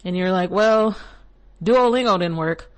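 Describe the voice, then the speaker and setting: A young woman hosts her own podcast and talks through spiritual goal-setting advice in a loose, chatty way. She wanders into side comments and laughs at herself, and her pitch sits in a middle range for a woman. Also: a little slow, low-quality recording. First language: English. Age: young adult. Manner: chatty and rambling, with frequent asides and laughs. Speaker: podcast host. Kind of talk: casual spiritual advice monologue.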